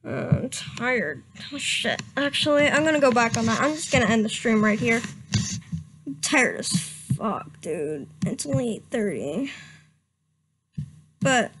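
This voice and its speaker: Young woman streamer, high pitched and unhurried, doing a giggly mock-dramatic reading that trips over words. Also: expressive, fairly bright tone, breathy edge, rough recording.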